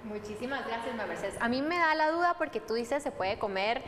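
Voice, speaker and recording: A woman's voice: on the high side, animated, a little fast, fairly bright tone. A young woman hosting conversationally and asking questions. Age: young adult. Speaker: host. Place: studio set. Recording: hall reverb, background noise.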